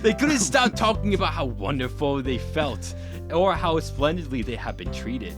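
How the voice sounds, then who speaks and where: Stumbling man reading aloud, lively pitch. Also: moderate pace. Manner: stumbling, self-correcting reading. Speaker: podcast host reading fanfiction. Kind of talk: casual read-aloud. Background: guitar music.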